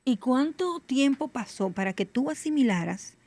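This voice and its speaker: A woman's voice: high voice, lively intonation, normal speed, a little mellow, dry recording. A woman from the Cibao region of the Republic, hosting a podcast in a chatty, inquisitive and playful way. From Cibao region, Dominican Republic. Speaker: podcast host. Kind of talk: casual interview chat.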